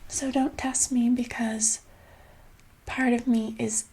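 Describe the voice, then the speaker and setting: A woman playing a controlling, passive-aggressive boss in a soft-spoken ASMR roleplay, breathy and slipping into whispers, condescending and casually menacing. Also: a little high, flat delivery, takes it slowly, poor audio. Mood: softly menacing. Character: controlling, passive-aggressive female boss holding an employee hostage.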